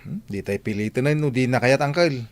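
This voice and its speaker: A man playing a friendly, eager village cousin in a radio comedy-drama, with an exclamatory, animated delivery. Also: medium pitch, brisk, close to an everyday voice. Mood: casual.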